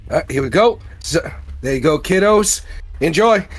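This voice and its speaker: A man's voice: on the high side, animated, normal speed, slightly rough, no echo. A man playing a tabletop role-playing game, chatting and role-playing in a playful, informal way. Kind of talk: casual chat and role-play at a game.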